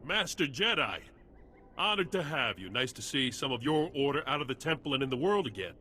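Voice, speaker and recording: A man's voice: mid-range voice, very animated, brisk, bright, forceful tone, slight background noise. An actor playing a hearty, earnest military officer in a video game, folksy and loyal to his soldiers.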